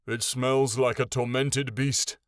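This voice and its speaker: A man's voice: medium pitch, flat delivery, slow pace, no echo, clean studio sound, natural voice. Man putting on a grouchy, growling hoofed beast. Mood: uneasy, grumbling. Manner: growling, reluctant, snappish. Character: grouchy, distrustful male hoofed creature, weary former soldier.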